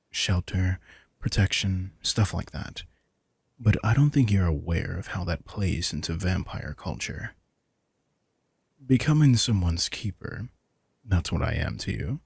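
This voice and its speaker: A gravelly, low-voiced vampire, played by a man, speaks right to the listener in a close, dry recording. He is possessive and smug, with a softly commanding, teasing way of talking, as in an intimate audio roleplay. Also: no room sound, heavily acted. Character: possessive, teasing male vampire with hypnotic powers.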